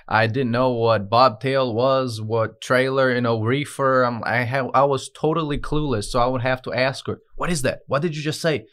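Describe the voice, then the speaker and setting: A man in his thirties from Brazil with a Brazilian Portuguese accent, a guest telling his story in a studio interview with many "uh" pauses. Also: medium pitch, fairly even intonation, fairly bright tone. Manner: earnest, with frequent "uh" and "um" pauses. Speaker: guest, dispatch manager. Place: podcast studio with branded backdrop. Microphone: desk podcast mic on a stand.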